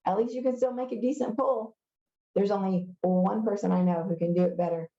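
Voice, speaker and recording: A woman's voice: medium pitch, fairly even intonation, fairly quick, mellow, reverberant, lo-fi sound, close to an everyday voice. A woman reading the part of a cool, reluctant middle-school boy schemer in a storybook, terse and understated. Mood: dismissive, firm.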